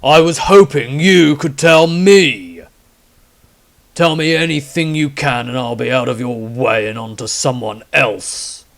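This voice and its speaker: A cold, intimidating witch hunter acted out by a male narrator for a fantasy audio story. He speaks slowly and deliberately, with a heavy gravelly creak in his voice. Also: mid-range voice, a bit bright, voice pushed up. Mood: menacing. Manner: slow, deliberate, intimidating delivery.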